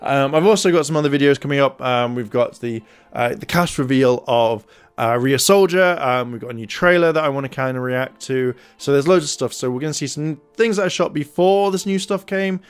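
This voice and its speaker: A man's voice: mid-range voice, fairly quick, smooth, faint music behind. A man in his thirties giving casual first-look commentary to camera in a hesitant, rambling way, recorded close on a handheld recorder in a small room. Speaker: YouTube toy reviewer. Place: small indoor room at home. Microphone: handheld recorder close to the mouth.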